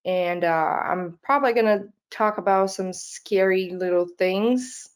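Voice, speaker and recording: A young Brazilian woman with a Portuguese-accented delivery speaks to a conference crowd. She is a little nervous, scattering fillers and restarts through her sentences and laughing often. Her voice sits at an ordinary middle pitch. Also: measured pace, smooth. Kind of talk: conference talk.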